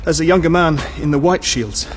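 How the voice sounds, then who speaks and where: A man's voice: on the high side, a little fast, a little hiss or hum, voice pushed up, close to an everyday voice. A man playing a dutiful human soldier in an audio drama, answering his superior respectfully in military fashion.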